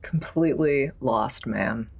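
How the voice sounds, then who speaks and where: Lost, apologetic hospital visitor for a tabletop game, low female voice, rambling and deferential, acted by a player. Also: takes it slowly, gravelly, full character voice. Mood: sheepish, pleading. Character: lost, sheepish hospital visitor pleading for help.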